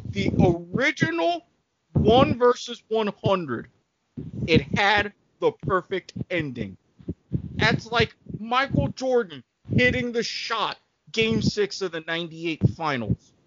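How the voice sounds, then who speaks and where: A man speaking as a podcast panelist in a casual debate, with a deliberate, emphatic and halting delivery. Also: high-pitched, big pitch swings, highs cut off, very noisy.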